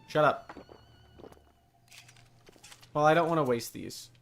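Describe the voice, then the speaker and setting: A man's voice: on the high side, slow, creaky, fairly bright tone, soft background music. A man doing casual live video game commentary, talking wryly to himself and to the game's enemies. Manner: talks to self and game enemies, wry.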